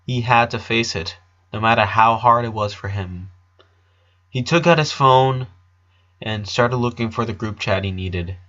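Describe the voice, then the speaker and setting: A man's voice: lowish voice, measured pace, bright, forceful tone, lo-fi sound. A man reading a scripted story aloud as its narrator.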